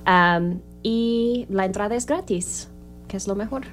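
A woman's voice: medium pitch, unhurried, faint music behind. A woman from the theater group announcing show details hesitantly, with small laughs and fillers.

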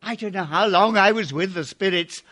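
An actor playing an elderly English former miser, giddy and overjoyed, in an excitable, stammering, theatrical radio drama style. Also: male voice, high voice, normal speed. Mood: delirious joy. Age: older.

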